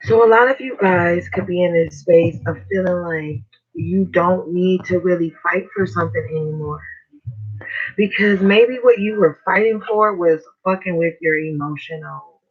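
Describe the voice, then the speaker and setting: A man with a hoarse, raspy voice giving a casual, slangy tarot reading as host. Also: fairly high pitch, steady tone, a little slow. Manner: slangy, chatty, swears casually. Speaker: tarot reader. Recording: dull lo-fi recording.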